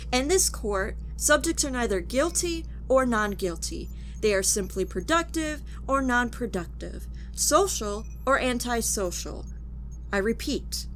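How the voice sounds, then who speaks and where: Woman acting a cold, high-voiced futuristic judge. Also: fairly bright tone, slight background noise. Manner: clipped, officious, coldly formal. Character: stern, contemptuous female military judge of a futuristic technocracy. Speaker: actor. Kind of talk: audio drama acting, formal courtroom interrogation.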